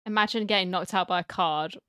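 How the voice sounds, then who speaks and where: Low-voiced, sarcastic woman gamer. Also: a little fast, bright, forceful tone, clear, resonant tone. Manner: sarcastic, blunt, slangy. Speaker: gamer host reacting to a story quest. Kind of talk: casual gaming commentary.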